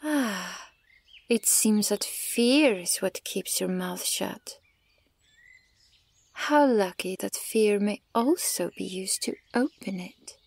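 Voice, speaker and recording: A woman playing a haughty, menacing, centuries-old elven archer in an ASMR roleplay, deliberate and condescending, at times nearly whispering. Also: medium pitch, expressive, creaky, breathy edge, exaggerated voice. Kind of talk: slow ASMR roleplay monologue.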